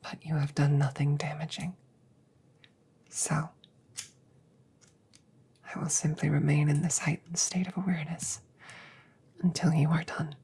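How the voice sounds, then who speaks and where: A woman voicing a gentle, stoic female android in an ASMR audio roleplay, whispering breathily with formal, precise, measured phrasing. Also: low voice, flat delivery, breathy edge, heavily acted. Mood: measured, clinical.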